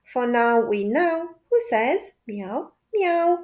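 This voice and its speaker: Woman, high voice, extremely slow pace, sing-song children's storytelling, playful rhyming read-aloud. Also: animated, darkish tone. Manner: playful, repetitive question-and-answer delivery for toddlers.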